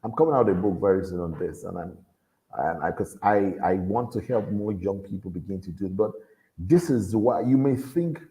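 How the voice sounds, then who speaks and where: A man with a deep voice, giving an earnest motivational talk to young people and repeating his key lines for emphasis. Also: expressive, normal speed, mellow, rough recording. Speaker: motivational speaker at a youth event.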